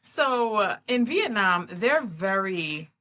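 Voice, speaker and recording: This is an American woman co-hosting a travel chat. Her voice is bright and clear, set in a middle register. She tells her stories with animation and voices the people in them. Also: medium pitch, takes it slowly, slight background noise. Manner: animated storytelling, acts out what people said. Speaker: co-host of a travel channel. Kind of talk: casual chat.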